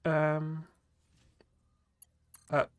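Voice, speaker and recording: A man streaming a game live, chatting in a rambling way with lots of fillers and restarts, his voice a little gruff after a cold. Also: fairly high pitch, monotone, very slow. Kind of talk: casual live-stream chat while playing.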